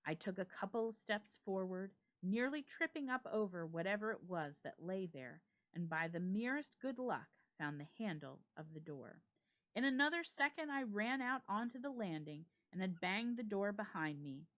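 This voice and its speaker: A woman storyteller giving a suspenseful, expressive dramatic reading of a ghost story with deliberate phrasing. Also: mid-range voice. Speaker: storyteller, library reader.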